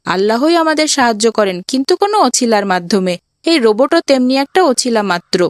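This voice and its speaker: Woman as a high-voiced earnest cartoon boy. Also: expressive, a little fast, dry recording, studio quality, natural voice. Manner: earnest, explains patiently. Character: pious, kind young boy who owns a robot. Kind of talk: children's cartoon voice acting.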